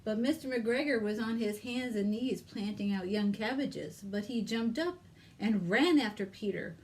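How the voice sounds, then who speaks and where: A woman's voice: mid-range voice, moderate pace, darkish tone, a little hiss or hum, poor audio. A woman hosting a children's storytime as a librarian, reading expressively and addressing young listeners with questions and exclamations.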